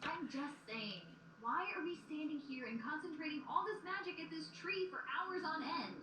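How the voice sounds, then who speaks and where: A woman's voice: sits high, moderate pace. A woman playing a whiny, flippant, cocky magician pony in a cartoon-like audio drama. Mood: defensive. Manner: complaining, flippant, excitable. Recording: echoey, distant, noisy playback.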